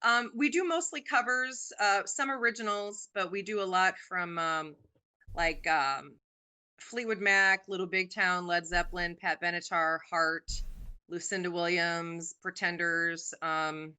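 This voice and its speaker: A woman's voice: lowish voice, steady tone, measured pace, smooth. A woman in her forties, a singer guest on a podcast, chatting casually with lots of "um"s. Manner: chatty, warm about her music, many "um"s.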